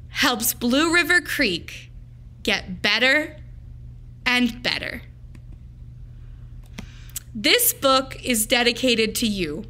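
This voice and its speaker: A woman reads a picture book aloud in a high, bright voice. Her phrasing is slow and deliberate, shaped dramatically for young children, as a teacher does at storytime.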